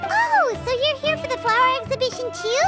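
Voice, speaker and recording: Tiny childlike game companion, piercingly high, swooping voice, unhurried delivery. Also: female voice, very animated, loud music behind the voice. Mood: chirpy. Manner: refers to herself in third person. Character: tiny female floating companion, chirpy and childlike. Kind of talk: video game dialogue.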